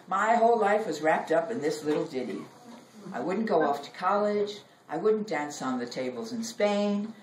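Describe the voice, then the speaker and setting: A woman's voice: fairly low pitch, expressive, moderate pace. A woman in her sixties reads her own personal, wry writing aloud at a live reading. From Brooklyn, United States. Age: 60s. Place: indoor library reading room. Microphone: distant camera or phone in audience. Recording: roomy, distant mic, background noise.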